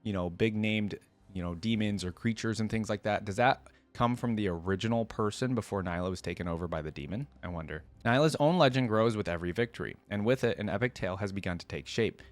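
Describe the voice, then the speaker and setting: A man's voice: fairly low pitch, quick pace. A man hosting a reaction video, reading a story aloud and adding casual, musing commentary as he thinks aloud.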